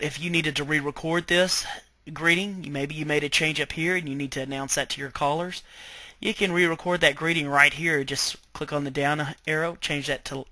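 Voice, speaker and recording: Bright-voiced man giving software training. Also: on the high side, no echo. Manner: step-by-step explaining, checking in with okay. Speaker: IT trainer presenting software tutorial. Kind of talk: informal instructional screen-share walkthrough.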